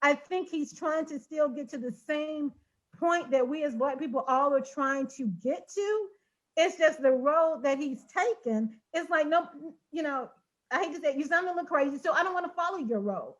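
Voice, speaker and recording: Earnest high-voiced woman on dull audio. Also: animated. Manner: earnest, measured, scolding. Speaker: panelist. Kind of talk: heated panel debate.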